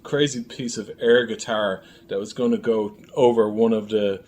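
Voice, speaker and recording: A man's voice: fairly low pitch, fairly even intonation, normal speed, darkish tone. A man speaking as an interview guest, looking back on events in casual, reflective conversation. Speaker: filmmaker and writer, interview guest. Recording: clearly noisy room ambience.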